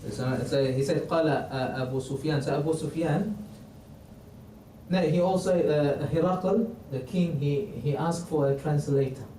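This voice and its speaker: A man who is not a native English speaker teaching a religious history class in a mosque, halting with many restarts and quoting Arabic. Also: a little high, mellow. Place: mosque hall. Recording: roomy, distant mic, faint noise.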